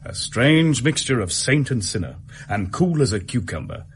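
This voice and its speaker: An actor playing a dogged, plain-spoken British police detective in a radio drama, talking in a blunt, colloquial way. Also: male voice, mid-range voice, very animated, normal speed, a little hiss or hum, lightly acted. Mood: admiring, frustrated.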